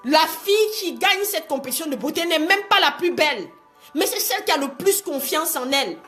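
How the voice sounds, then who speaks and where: A woman coaching online viewers in an animated, exhortative live talk, repeating phrases and asking rhetorical questions for emphasis. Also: high voice, fairly quick, faint music behind. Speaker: motivational coach hosting a livestream.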